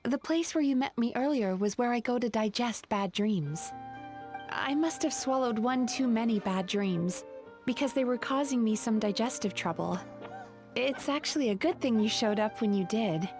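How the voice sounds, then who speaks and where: A gentle dream-eating spirit girl. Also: a little high, animated, normal speed, over music. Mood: apologetic. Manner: soft-spoken, polite and apologetic. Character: gentle young female dream-eating yokai. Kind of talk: video game voice acting.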